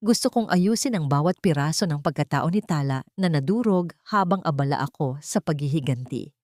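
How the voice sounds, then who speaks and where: A woman's voice: deep voice, lively intonation, brisk, darkish tone, dry recording, studio quality. A woman voicing a weary, protective young mother in a story drama, earnest and soothing. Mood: weary, resolved.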